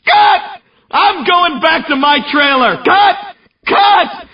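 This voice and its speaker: A man playing a hot-tempered, histrionic film director in a video game, shouting in exasperation. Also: very high voice, animated, slow pace, full character voice. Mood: furious. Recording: dull, distant-miked game audio.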